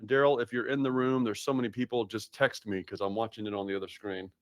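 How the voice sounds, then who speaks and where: A man's voice: lowish voice, little pitch movement, fast talker, no room sound, clean studio sound. A man from Massachusetts hosting a live webinar, chatty and upbeat, with lots of fillers and restarts. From Massachusetts, USA. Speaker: webinar host.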